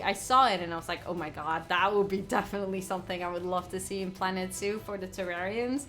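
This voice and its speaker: A young woman from the Netherlands with a Dutch accent, chatting excitedly and giggling on a gaming live stream as she reads out viewers' comments. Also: medium pitch. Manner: excitable, giggly, reading chat aloud. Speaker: gaming livestreamer. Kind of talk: casual live stream chat.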